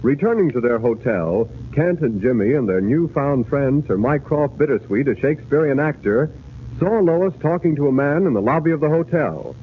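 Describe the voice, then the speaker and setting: Animated 1940s radio announcer, male. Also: mid-range voice, normal speed. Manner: emphatic, theatrical. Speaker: announcer and narrator. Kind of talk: dramatic 1940s radio narration. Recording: tinny, noisy 1940s radio broadcast.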